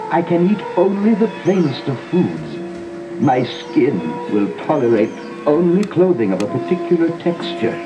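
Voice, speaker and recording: A man playing a sickly, melancholy old aristocrat in a gothic audio drama, halting and theatrical, recorded on an old cassette. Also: mid-range voice. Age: older. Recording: dull old cassette dub with music. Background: music.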